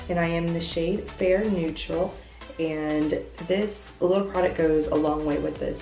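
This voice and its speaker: A young woman talks through a makeup routine in a chatty, self-deprecating way, her voice stuffed up with congestion. It sits low and warm, with a gravelly edge. She is a little off-mic in an echoey bathroom, with faint music underneath. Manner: chatty, self-deprecating, explains each step. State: congested, voice lower than usual. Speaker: beauty vlogger. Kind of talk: casual makeup tutorial. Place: hotel bathroom.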